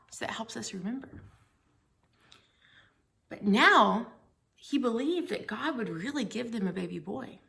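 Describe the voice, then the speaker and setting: A woman's voice: mid-range voice, creaky, sharp tone. A woman reading a Bible story aloud for young children, explaining things simply and putting questions to her young listeners.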